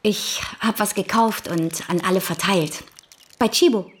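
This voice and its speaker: A woman's voice: medium pitch, measured pace, slight background noise, natural voice. An actress playing an eager, chatty, slightly scatty female accomplice in a radio drama.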